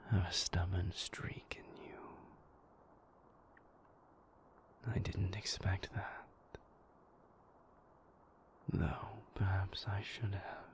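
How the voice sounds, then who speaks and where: A man playing a moody, brooding vampire in an intimate audio roleplay, hushed and gravelly, speaking deliberately with weary, dry wit. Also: little pitch movement, whispered. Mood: wry, reluctant. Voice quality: breathy, hushed, gravelly low voice. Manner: slow, deliberate, formal wording, dryly wry.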